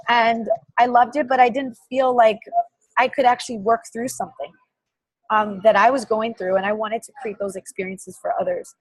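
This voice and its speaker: A woman's voice: mid-range voice, breathy edge, no echo, rough recording. A woman author in a candid interview, talking earnestly and searchingly, often restarting her phrases.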